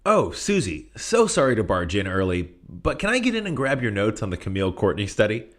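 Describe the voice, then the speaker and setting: An actor playing a pushy, overfamiliar academic colleague in an audio play, breezy, talkative and patronizing. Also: male voice, on the low side, huge pitch swings, a little fast, fairly bright tone.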